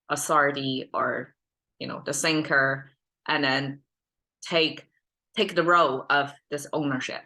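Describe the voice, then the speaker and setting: A woman, a Mandarin speaker, hosting a language lesson in casual, thoughtful speech, pausing often with fillers and restarts. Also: sits low, sharp tone. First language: Mandarin Chinese. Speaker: host and language teacher. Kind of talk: casual teaching monologue.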